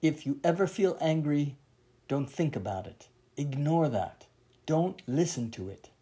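Casting: performer as calm male movement leader, slow and soft-edged, admonishing with rhetorical questions in a film monologue. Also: mid-range voice, natural voice. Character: calm male farmworker leader counselling nonviolence and patience. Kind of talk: dramatized speech in oral-history film.

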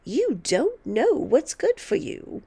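A woman's voice: high voice, huge pitch swings, slow, creaky, warm tone, pitched above the performer's own voice, heavily acted. A woman voicing a tiny, bossy, mean old grandmother who scolds and snaps with gloating emphasis in a storybook reading. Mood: gloating relish.

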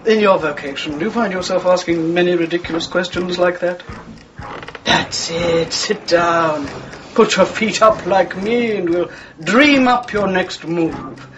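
A man's voice: high voice, unhurried, lo-fi sound, noisy background. An actor playing a sardonic demon posing as an urbane dead father in a radio horror drama, teasing and theatrical.

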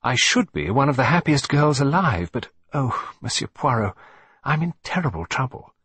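A man's voice: medium pitch, very animated, normal speed, a bit bright, husky, no room sound, pitched above the performer's own voice. A man voicing a frightened young upper-class lady, hesitant and pleading. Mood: distressed. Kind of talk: audiobook reading.

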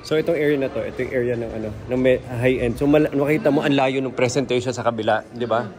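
A man's voice: medium pitch, fast talker, a little mellow, soft background music. A Filipino man in his thirties giving a chatty, teasing tour of a big indoor furniture mall, recorded on a camera held close as he walks. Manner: chatty, teasing, tour-guide banter mixing Tagalog and English. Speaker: vlogger. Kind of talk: casual vlog narration. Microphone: handheld camera held close.

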